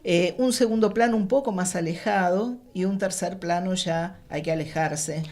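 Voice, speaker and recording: A woman's voice: lowish voice, normal speed, a little mellow. A woman explaining technical craft informally as a guest, rephrasing as she goes.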